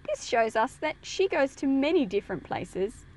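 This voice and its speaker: A woman's voice: high voice, moderate pace. A woman reading a picture book to children, expressively explaining it and asking them questions. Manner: expressive, explanatory, addressing young listeners with questions. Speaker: read-aloud presenter teaching children.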